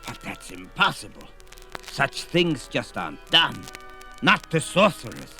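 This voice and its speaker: Old wizard, bright piercing tone, very animated, indignant, dithering, theatrical, fantasy audio play. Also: male voice, medium pitch, a little slow, gravelly, soft background music, full character voice. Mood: flustered, anxious. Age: older. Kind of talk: 1970s fantasy audio drama acting.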